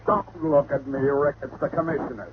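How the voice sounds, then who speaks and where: An actor playing an exasperated middle-aged police lieutenant, gravelly, curt and sarcastic, in 1940s radio style. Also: male voice, medium pitch, fairly quick, close to an everyday voice. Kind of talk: 1940s radio drama acting. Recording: muffled, noisy old-time radio recording.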